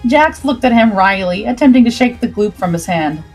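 A woman's voice: a little high, animated, moderate pace, soft background music. A woman narrating a scripted adventure story expressively as the host of a livestream.

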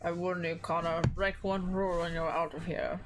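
A woman's voice: lowish voice, flat delivery, normal speed, noisy background, close to an everyday voice. Woman acting a sour, sneering school official. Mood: threatening.

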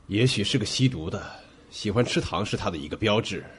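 Man acting a husky, low-voiced police detective. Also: lively intonation, brisk. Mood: serious, cautioning. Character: earnest, dutiful male police detective. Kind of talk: audio suspense drama acting.

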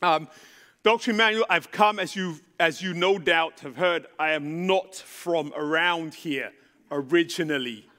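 A man's voice: fairly high pitch, unhurried, sharp tone. A man from London with a London English accent, preaching as a guest speaker on a church stage in a conversational, self-deprecating way with frequent ums. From London, England. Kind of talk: sermon. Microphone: handheld microphone. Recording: long reverb in a church hall.

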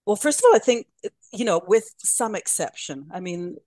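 An older woman hosting a podcast, telling anecdotes in a thoughtful, measured way. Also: mid-range voice, lively intonation, a little mellow, no echo. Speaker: podcast host. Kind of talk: casual conversation.

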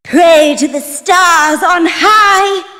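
An actress playing a playful, mysterious goddess of fate in a fantasy video game, with lilting, theatrical pronouncements. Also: female voice, extremely high pitch, very slow, pushed and bright, exaggerated voice. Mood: serene, grand. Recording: long reverberant hall effect.

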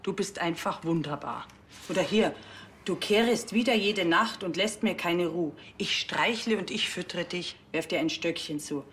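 A woman acting a scornful, plain-spoken village baker in a TV crime comedy. Her voice is on the low side, with faint ambient noise behind it. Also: moderate pace, fairly bright tone, natural voice. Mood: reciting.

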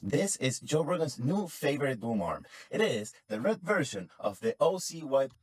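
A man's voice: medium pitch, very animated, moderate pace, slightly rough, no room sound. A man in his forties presenting a scripted product review to camera. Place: indoor studio room. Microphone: microphone on a boom arm, up close.

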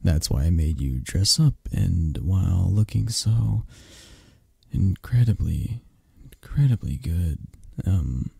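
A man acts out a controlling yet protective mafia boss boyfriend for an ASMR romance roleplay. His voice is low and husky, and he takes his time over each phrase. He coaxes and commands softly, close to the listener. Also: animated, dry recording, full character voice. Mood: possessive, firm. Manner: unhurried, commanding, coaxing, close and soft.

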